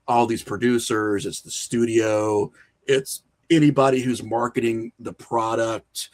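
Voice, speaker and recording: A man talking informally as an interview guest, explaining his views in loose, slangy speech peppered with filler phrases. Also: medium pitch. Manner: animated explaining, frequent "you know" and "like", informal slang. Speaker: podcast guest, horror filmmaker. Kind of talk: casual interview conversation.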